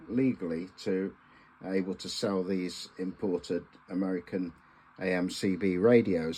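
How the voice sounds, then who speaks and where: Slow, low-voiced older man. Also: darkish tone, husky, low-quality recording. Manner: halting, deliberate, with frequent pauses and hesitations. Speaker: amateur radio hobbyist presenting a video. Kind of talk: informal hands-on demonstration talk.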